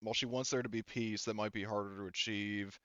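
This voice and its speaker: A man voicing a grave, reluctant female military brigadier in a tabletop game, speaking quietly and haltingly. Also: lowish voice, fairly even intonation, no room sound, dull audio. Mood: grave, bitter.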